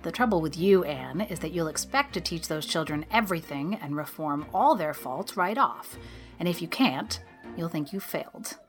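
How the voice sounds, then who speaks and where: A woman's voice: sits low, expressive, moderate pace, faint music behind. A woman voicing a practical older woman who speaks plainly and matter-of-factly.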